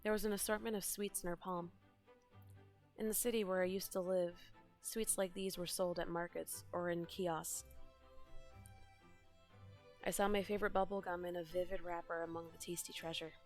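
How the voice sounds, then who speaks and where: A woman's voice: on the low side, little pitch movement, moderate pace, long pauses. Woman, streamer, reads game dialogue aloud, dramatic narration, faint game music behind.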